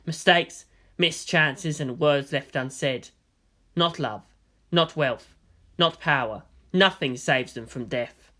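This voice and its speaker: Casting: narrator for dark dramatic fiction, solemn and portentous, with a bright, tense edge and deliberate phrasing. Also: medium pitch. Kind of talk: dramatic scripted story narration.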